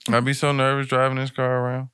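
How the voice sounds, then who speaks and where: A man with a bright, forward voice co-hosts a casual podcast. He talks in slangy, blunt banter with a joking edge, recorded dry and close. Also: medium pitch, completely flat delivery, fast talker, clean tone, dry recording. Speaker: podcast co-host.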